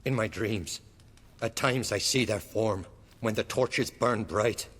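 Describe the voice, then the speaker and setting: An actor playing a tormented, guilt-ridden Norse clansman in a video game, speaking solemnly in archaic phrases with a rough voice. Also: on the low side, slow pace, a bit bright, exaggerated voice. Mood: haunted, reverent. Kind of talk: video game cutscene acting. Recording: long hall-like reverb.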